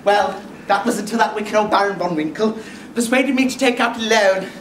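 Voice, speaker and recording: A man's voice: high-pitched, fairly quick, fairly bright tone. A man playing a bawdy, flustered middle-aged pantomime dame and scolding mother, chatting to the audience in an exaggerated way. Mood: flustered, complaining. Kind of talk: Christmas pantomime stage acting with audience address. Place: theatre stage before an audience. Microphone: far camera. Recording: echoey theatre stage, distant noisy camera mic.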